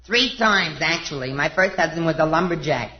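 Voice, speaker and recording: Man acting as woman swimmer, high voice, deadpan absurdity, radio comedy, echoey old recording. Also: moderate pace, lo-fi sound, lightly acted. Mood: matter-of-fact. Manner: matter-of-fact delivery of absurd answers. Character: deadpan champion swimmer Miss Dang, absurd comic interviewee. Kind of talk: 1950s radio comedy sketch.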